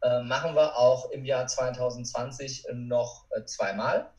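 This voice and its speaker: A man's voice: medium pitch, fairly even intonation, a little slow, darkish tone, muffled recording. A man speaking as a motivational coach, giving earnest, conversational answers as a podcast guest. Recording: roomy, distant from the mic.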